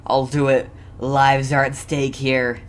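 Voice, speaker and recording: A woman's voice: extremely low pitch, flat delivery, slow pace. A woman giving casual gameplay commentary as a streamer, rambling and questioning herself as she goes. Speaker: game streamer. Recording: clearly noisy background.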